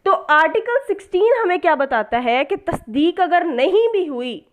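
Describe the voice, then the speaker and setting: High-pitched Pakistani woman teaching. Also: sing-song, normal speed. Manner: didactic, repeats key points for emphasis; mixes English legal terms into speech. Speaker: law tutor. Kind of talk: explanatory lecture with rhetorical questions.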